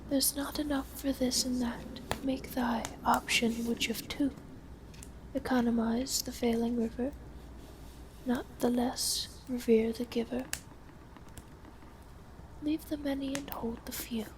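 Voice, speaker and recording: Female performer for gracious vampire countess: hushed breathy whisper, unhurried pace, old-world formality, intimate ASMR roleplay, some background hiss. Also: on the high side, steady tone, natural voice, poor audio. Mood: hushed, reciting. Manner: formal, genteel, old-fashioned phrasing. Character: elegant, lonely vampire countess, gracious and sly hostess. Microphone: close binaural microphone. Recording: close binaural whisper with audible hiss.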